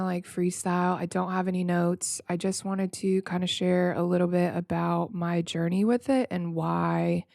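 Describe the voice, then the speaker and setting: Female solo podcast host: gravelly fry, slightly nasal, reflective freestyle rambling, very dry close sound. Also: fairly low pitch, darkish tone, dry recording. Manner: informal, reflective, halting and rambling with repeated words.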